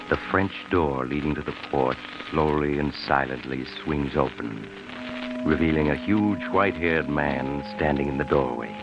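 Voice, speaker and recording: Creepy mysterious traveler narrator, super low voice over music, old radio drama, theatrical. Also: male voice. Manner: slow, ominous, theatrical delivery. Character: sinister mysterious traveler, suave male storyteller. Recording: muffled old radio recording, music behind.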